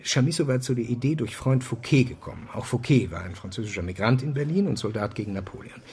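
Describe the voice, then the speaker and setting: An actor playing a self-assured, dominant intellectual in a radio drama, explaining insistently in a deliberate, lecturing way. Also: male voice, medium pitch, animated, darkish tone, lightly acted. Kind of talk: German radio drama dialogue.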